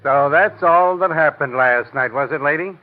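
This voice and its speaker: An actor playing a skeptical, wisecracking police inspector in a 1940s radio drama. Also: male voice, a little high, moderate pace, music in the background, close to an everyday voice. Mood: sardonic. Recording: muffled old radio recording, faint noise.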